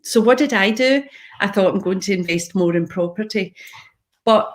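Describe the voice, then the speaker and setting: A Scottish woman from Glasgow, middle-aged, speaking to an online audience in a relaxed, conversational way. Her voice sits at a middle pitch. She wanders off on side stories and keeps pulling listeners in with direct questions. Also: moderate pace. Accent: Glaswegian Scottish. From Scotland, Glasgow. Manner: chatty, goes on tangents, addresses viewers directly. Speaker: public speaker. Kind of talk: live-streamed personal talk to viewers.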